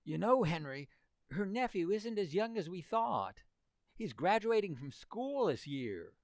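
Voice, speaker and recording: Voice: mid-range voice, sing-song, a little slow, darkish tone, no room sound. An actor playing an earnest, lovesick, hapless teenage boy in exclamatory, old-time radio comedy style. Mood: awkward, earnest. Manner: exclamatory, dim-witted, earnest boyish slang.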